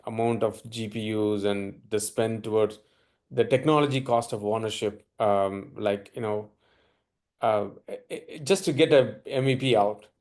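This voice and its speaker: A man's voice: on the low side, darkish tone. A man asking questions and thanking sponsors in an online meeting, hesitant with many fillers. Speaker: audience member, later sponsor announcer.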